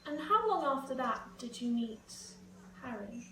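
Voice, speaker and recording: Woman acting gentle young daughter, higher voice, echoey. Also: big pitch swings, measured pace, full character voice. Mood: curious, tender. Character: grown-up daughter, gentle, grateful, reassuring. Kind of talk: amateur stage-play acting, naturalistic dialogue. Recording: roomy, slightly noisy, distant home recording.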